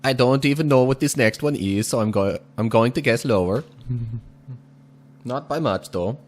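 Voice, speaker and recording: A man giving casual gameplay commentary while speaking in a put-on, exaggerated foreign accent, measured and deliberate, chuckling now and then. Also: medium pitch. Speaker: gaming video host.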